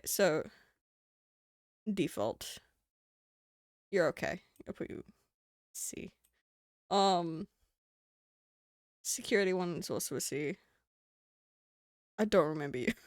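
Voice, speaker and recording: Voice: mid-range voice, no echo, studio quality. A streamer with a New Zealand accent, chatting casually on a live stream, rambling and swearing. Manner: rambling and swearing, with frequent laughs.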